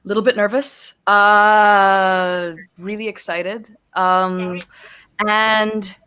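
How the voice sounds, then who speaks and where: A woman's voice: mid-range voice, little pitch movement, very slow, pushed and bright, clear, resonant tone. Giggly young woman chatting on a call. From born in Singapore, raised in Barbados and the Philippines. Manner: giggly, self-deprecating, chatty with fillers. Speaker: guest, actor and singer. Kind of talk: casual interview chat.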